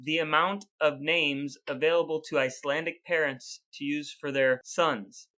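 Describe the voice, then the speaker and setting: Bright-voiced male podcast host, playfully grandiose. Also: on the high side, steady tone, moderate pace. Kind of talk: casual podcast banter with scripted sign-off.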